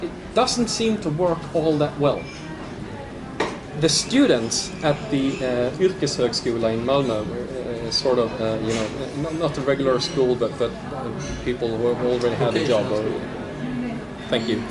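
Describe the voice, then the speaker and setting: A man's voice: mid-range voice, measured pace, over a noisy crowd. A man with a Swedish accent, speaking hesitantly with many restarts in a live panel discussion. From southern Sweden. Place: large public hall.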